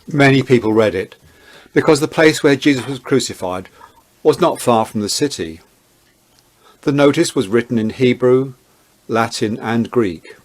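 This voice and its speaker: A man with a mid-range voice serves as narrator of a dramatized scripture reading. He reads in a measured and deliberate manner. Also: medium pitch.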